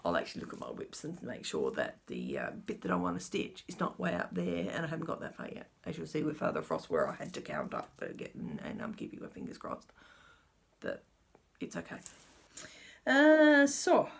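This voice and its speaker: A woman's voice: rumbling low, wildly swooping pitch, normal speed, creaky, slightly rough. A middle-aged woman chatting casually through her cross-stitch update, rambling and talking to herself as she checks her notes. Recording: faint background noise.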